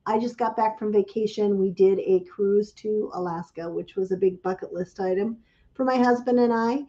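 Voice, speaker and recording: A woman chats casually on a livestream, greeting viewers by name. Her voice is mellow and a little raspy from a cold. The stream audio sounds slightly dull and lo-fi. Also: mid-range voice, normal speed. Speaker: craft business owner hosting a livestream.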